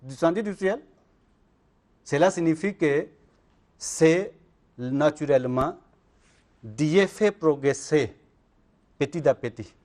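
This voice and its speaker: A man, speaking in a fairly high voice. He argues insistently as an interview guest, circling back to check that he is understood. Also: expressive, slow pace, pauses often, a little mellow. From Pakistan. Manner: insistent, repeats "vous comprenez?". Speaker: Ahmadi missionary guest. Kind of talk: religious interview argument.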